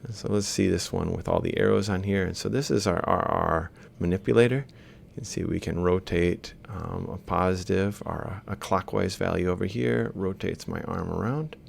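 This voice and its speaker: Man, low gravelly voice, heavy fry, informal technical lecturer, step-by-step explaining, thinking aloud. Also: normal speed, few pauses. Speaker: robotics lecturer. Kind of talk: informal worked-example lecture, explaining while drawing. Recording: faint background noise with low rumble.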